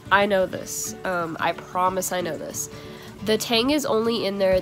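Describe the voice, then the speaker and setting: Congested teenage girl vlogging excitedly. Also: mid-range voice. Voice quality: tired, nasal congested voice. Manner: chatty, excitable, frequent ums. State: congested from a cold. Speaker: aquarium vlogger. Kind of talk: casual vlog chat. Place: bedroom and home at night, indoors. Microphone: phone front camera at arm's length.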